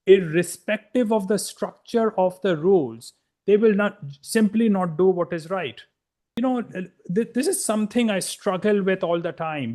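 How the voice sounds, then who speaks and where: A middle-aged man from India, a guest in a conversational interview, talking bluntly and emphatically with frequent restarts. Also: high voice.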